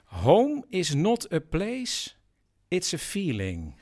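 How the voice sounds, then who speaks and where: An actor playing a dry, teasing grown son in a naturalistic radio drama, wryly echoing what others say. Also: male voice, high-pitched, wildly swooping pitch, drawn-out words, darkish tone, full character voice. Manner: wry, mock-echoing others' words.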